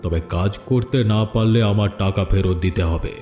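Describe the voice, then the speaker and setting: A man's voice: on the low side, moderate pace, mellow, close to an everyday voice. An actor playing a firm, insistent village man in a dramatic fairy-tale cartoon. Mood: stern, scheming. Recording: echoey studio dub with background music.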